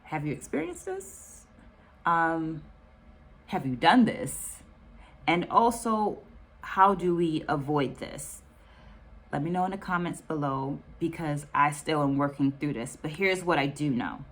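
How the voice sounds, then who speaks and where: Woman, American accent, middle-aged, low voice, measured educator's delivery, reflective book-review vlog. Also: lively intonation, fairly bright tone. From United States. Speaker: dance educator hosting a book discussion.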